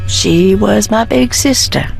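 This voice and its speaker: An actress playing a bitter, mocking, unstable young heiress in hiding, with theatrical delivery in a video game. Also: female voice, medium pitch, slow pace, vocal fry, a little mellow. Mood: bitter, wounded. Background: background music.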